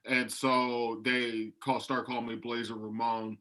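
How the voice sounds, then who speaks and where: A man's voice: medium pitch, little pitch movement, unhurried, pushed and bright. A man from Ohio, a comedian guest on a podcast video call, talking in a laid-back, rambling way. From Ohio, USA. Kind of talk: casual podcast chat.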